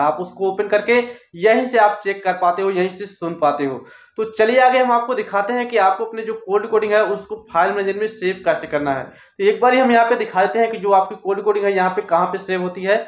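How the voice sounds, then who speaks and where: High-pitched man giving a tutorial. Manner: repetitive, instructional. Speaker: tech tutorial host. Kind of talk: step-by-step phone tutorial. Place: small indoor office room. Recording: roomy echo, dull lo-fi sound.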